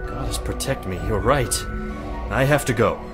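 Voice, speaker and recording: A man acting a formal, scholarly, earnest mage in a visual novel, with precise, measured wording. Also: lowish voice, music in the background, natural voice. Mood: flustered.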